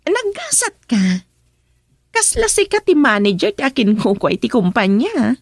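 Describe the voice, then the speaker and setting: A woman's voice: on the high side, big pitch swings, unhurried, dry recording, clean studio sound, heavily acted. An actress playing an upset, jealous young married woman in an Ilocano radio drama, with an Ilocano accent and an emotional, exasperated delivery. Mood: chatty.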